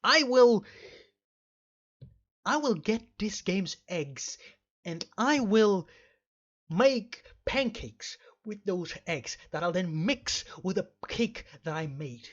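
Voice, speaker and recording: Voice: medium pitch, sing-song, slow pace, muffled recording. A game streamer giving rambling let's play commentary in an emphatic, mock-stern way, often repeating phrases. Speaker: video game streamer and host.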